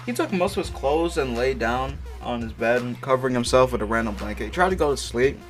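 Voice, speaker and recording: A man's voice: medium pitch, animated, brisk. A man casually reading a story aloud, stumbling over the text and breaking off for mocking, sweary asides. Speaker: amateur fan fiction reader. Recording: music playing behind the voice. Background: background music.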